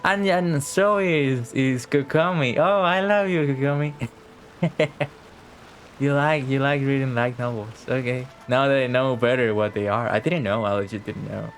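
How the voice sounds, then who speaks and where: A man's voice: mid-range voice, normal speed, soft background music. A man giving casual livestream game commentary, reacting and talking back to the game as he plays. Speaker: game streamer.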